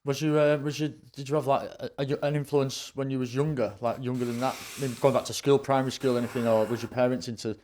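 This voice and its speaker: Matey man, medium pitch. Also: flat delivery, fast, hardly any pauses, a little mellow, slight background noise. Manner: informal, matey, colloquial. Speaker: podcast host. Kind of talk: casual chat interview.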